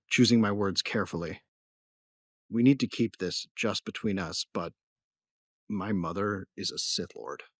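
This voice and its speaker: A man's voice: fairly low pitch, no echo, studio quality, natural voice. A man playing a young bounty hunter in a sci-fi audio drama, telling his story in a wry, laid-back, cocky way. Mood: easygoing in-character. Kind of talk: first-person audio drama narration.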